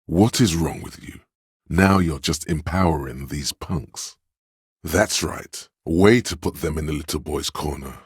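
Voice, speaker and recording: An actor playing a stern, commanding inner voice of police authority in a video game, giving imperious, clipped orders. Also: male voice, extremely low pitch, a little slow, slightly rough, no room sound, clean studio sound, heavily acted. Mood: scornful, commanding. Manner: imperious, clipped orders, addressing listener as recruit. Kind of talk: video game character narration.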